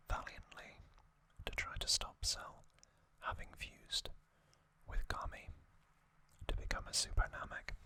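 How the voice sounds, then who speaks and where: An ASMR host whispering trivia narration slowly and deliberately in short phrases, with a voice slightly strained from singing. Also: pushed and bright. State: voice slightly off after singing hard.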